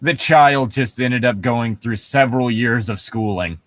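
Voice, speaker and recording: A man's voice: mid-range voice, unhurried, fairly bright tone, no echo. A sarcastic young man joking and reading casually as co-host of a comedy story reading.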